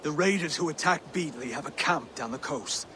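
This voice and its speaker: Male actor as underdog Saxon noble for a game, high voice, earnest and dogged, noisy ambience. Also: normal speed, close to an everyday voice. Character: earnest, stubborn underdog Saxon would-be king. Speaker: video game character. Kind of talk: dramatic video game acting.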